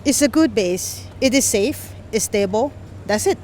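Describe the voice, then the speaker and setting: A woman's voice: fairly high pitch, expressive, measured pace, darkish tone, a little hiss or hum. A woman from Thailand, interviewed on the street, talking casually and searching for words. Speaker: interviewee, expat. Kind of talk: casual answers.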